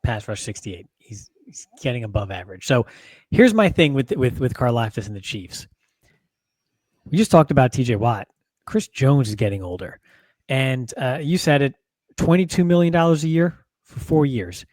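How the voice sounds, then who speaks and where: Man, football podcast co-host, wry, measured, dry close mic. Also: mid-range voice, lively intonation, darkish tone, no echo. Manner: wry, teasing, measured reasoning. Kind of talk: casual sports chat.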